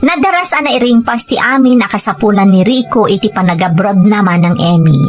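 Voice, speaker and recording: A woman's voice: mid-range voice, animated, brisk, close to an everyday voice. A woman with an Ilocano accent acting and narrating in a family radio drama, with music behind her. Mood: reflective.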